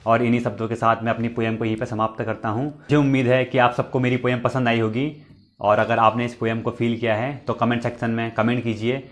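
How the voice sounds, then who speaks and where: A man's voice: mid-range voice, flat delivery, brisk. A man from the Uttarakhand hills, hosting his own channel, reciting a nostalgic poem and repeating each line before finishing it, with spoken asides between verses. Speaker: poet and channel host.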